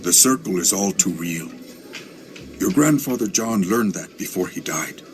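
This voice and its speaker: Low-voiced, grave old tracker. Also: male voice, animated, soft background music. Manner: measured, earnest, formal phrasing. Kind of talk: video game cutscene acting.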